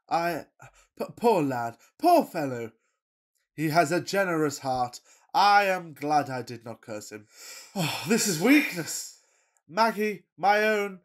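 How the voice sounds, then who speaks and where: Man acting a gushing, pompous gentleman suitor. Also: on the high side, animated, slow. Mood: sentimental. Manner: effusive, florid, melodramatic. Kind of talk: farcical Victorian radio play acting.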